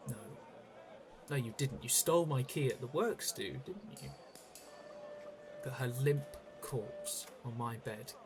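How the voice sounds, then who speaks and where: A man's voice: mid-range voice, lightly acted. An actor playing a bitter, sarcastic detective jailed for a murder he was framed for, accusing and biting, in an audio drama.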